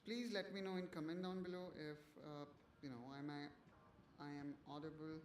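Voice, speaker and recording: Hesitant male livestream host, higher voice. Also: steady tone, moderate pace, a little hiss or hum. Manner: hesitant, many fillers and restarts, checking with viewers. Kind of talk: casual livestream tutorial.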